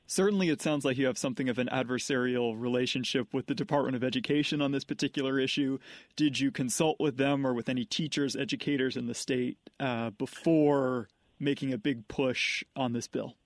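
Man, interviewer, medium pitch, dry recording, steady and direct. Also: brisk, no echo. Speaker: radio interviewer. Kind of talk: interview questions.

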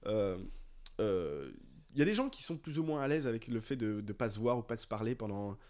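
A man's voice: lowish voice, sing-song, a little fast, a little mellow. A man in his twenties from the south of France, co-hosting a podcast in a casual, slangy, rambling conversation. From south of France (Marseille area). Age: 20s. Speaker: podcast co-host.

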